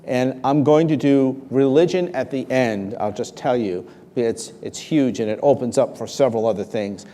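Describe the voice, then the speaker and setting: A man's voice: medium pitch, moderate pace, dark tone, a little hiss or hum. A man hosting a live interview before an audience, introducing his guest and steering the questions in a formal way. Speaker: talk show host. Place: large hall with live audience. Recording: long hall reverb.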